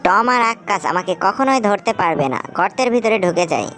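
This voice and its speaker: A woman's voice: mid-range voice, lively intonation, moderate pace, a bit bright, faint music behind, close to an everyday voice. A woman voicing a cheeky little male cartoon mouse in a comedy dub, teasing and boastful. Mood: gleefully taunting.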